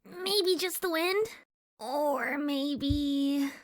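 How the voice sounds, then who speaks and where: A woman voicing a curious, brash young pony filly who spooks easily, in expressive acted dialogue for a fan fiction audio story. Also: sits high, very slow. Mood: nervous. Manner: youthful, expressive, talking to herself.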